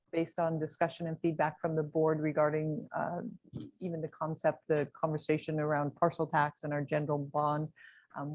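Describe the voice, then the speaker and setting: A woman on district staff briefing a board in a steady, explanatory way. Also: sits low, dry recording, poor audio.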